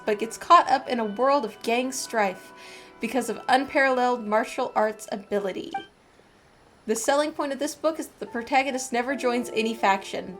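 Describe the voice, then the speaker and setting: Female game streamer, slightly high pitch, casual reading and commentary, agreeable, faint music underneath. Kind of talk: live game playthrough, reading aloud and commenting.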